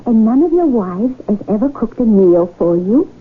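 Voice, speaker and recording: A woman playing a haughty, regal royal highness in a 1970s radio drama, speaking in an imperious, formal, measured way. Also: very animated, breathy edge. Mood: regal, softening. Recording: tinny, noisy old radio broadcast recording.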